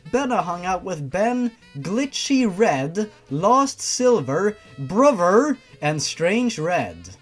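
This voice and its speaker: A host reads fan fiction with a lively, swinging delivery. The narration keeps breaking into exasperated, mocking commentary with plenty of swearing. Music plays behind the voice. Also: mid-range voice, slow, few pauses. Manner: sarcastic, exasperated, sweary asides. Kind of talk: story reading with mocking commentary.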